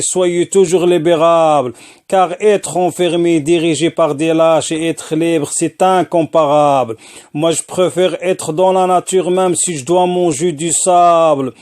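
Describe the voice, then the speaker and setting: A man recites a rhymed spoken-word poem in a deliberate, declamatory way, line by line. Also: a little high, darkish tone. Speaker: poet, former prisoner.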